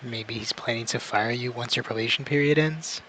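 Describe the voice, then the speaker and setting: Male co-commentator, medium pitch, relaxed wording, casual let's-play chat. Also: normal speed, low-quality recording.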